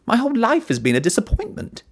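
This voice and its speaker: A man's voice: very high voice, wildly swooping pitch, quick pace, voice pushed up, exaggerated voice. A man voicing an exasperated, dramatic mother in a short story, given to complaint and despair. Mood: disgusted. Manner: exclaiming, exasperated.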